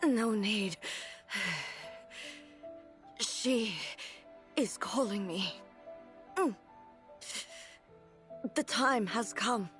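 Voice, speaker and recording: An actress playing a solemn, weary godlike woman ruler in a video game, speaking in a formal, grave, measured way. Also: medium pitch, a bit bright. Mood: solemn resolve. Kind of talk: dramatic video game voice acting. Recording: game music behind the voice. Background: background music.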